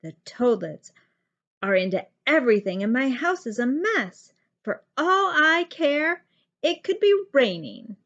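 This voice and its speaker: A woman's voice: sits high, sing-song, a little slow, fairly bright tone, lo-fi sound, voice pushed up, heavily acted. A woman voicing a short-tempered, overworked mother toad in a children's storybook, snapping and grumbling emphatically. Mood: irritated.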